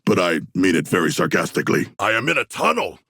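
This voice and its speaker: A huge humanoid shark warrior from a video game, with a low voice full of gravel. He speaks in grand, stiffly formal sentences, solemn and literal-minded yet hungry for battle. His pitch swings widely between grave statements and battle cries. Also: sing-song, fast talker, pushed and bright, no room sound, clean studio sound, full character voice. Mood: solemn, deadpan. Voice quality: gravelly, raspy. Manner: formal, stilted, grandiose diction without contractions. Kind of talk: video game character voice lines.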